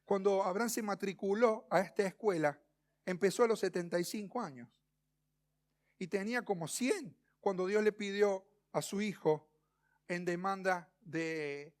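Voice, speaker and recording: A man with an Argentine accent preaching a sermon in a didactic way, using rhetorical questions and emphatic pauses. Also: sits high. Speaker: pastor preaching. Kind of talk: expository sermon.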